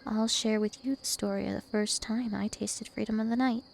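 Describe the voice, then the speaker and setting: Casting: woman as an immortal vampire princess for ASMR roleplay, teasing and possessive, creaky voice over noticeable insect-like night ambience. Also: mid-range voice, fairly even intonation, slight background noise, close to an everyday voice. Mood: calm, probing. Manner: slow, deliberate, teasing and possessive.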